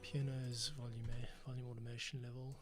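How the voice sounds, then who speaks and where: Man, mid-pitched, casual work-along commentary, mumbled to himself, keys tapping, music underneath. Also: level tone, a little fast. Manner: muttering, thinking aloud while working. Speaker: podcast composer and editor. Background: music playback, computer keyboard and typing.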